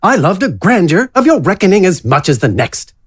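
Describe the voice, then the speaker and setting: Man putting on a pompous, swooping theatrical gentleman. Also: sits high, big pitch swings, brisk, slightly rough, pitched above the performer's own voice, exaggerated voice. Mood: grand scolding. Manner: florid, grandiose phrasing.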